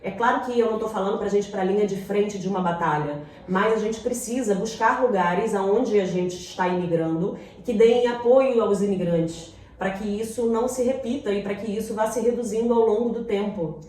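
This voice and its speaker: A woman's voice: medium pitch, a little fast, hardly any pauses, darkish tone. A Brazilian woman co-hosting a casual talk, giving opinions and personal anecdotes. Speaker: talk show co-host. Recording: very echoey room, distant mic.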